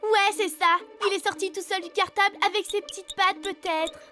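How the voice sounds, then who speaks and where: Bossy, high-voiced little schoolgirl. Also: fairly even intonation, normal speed, sharp tone. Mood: indignant, sarcastic. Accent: French. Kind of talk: children's cartoon voice acting. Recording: music behind the voice.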